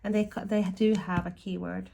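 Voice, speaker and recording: A woman from Ireland with a Swedish-tinged accent, chatting casually in a slow, thoughtful, meandering way as she reviews tarot and oracle decks at home. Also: medium pitch, little pitch movement, creaky, mellow, slight background noise. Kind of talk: casual deck review chat.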